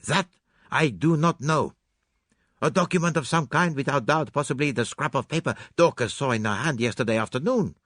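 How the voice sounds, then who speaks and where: A man voicing an excitable, theatrical foreign detective, emphatic and dramatic, in an audiobook. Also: medium pitch, normal speed, no room sound, clean studio sound, pitched above the performer's own voice. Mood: frustrated. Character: excitable, theatrical foreign male detective, fastidious and self-reproaching.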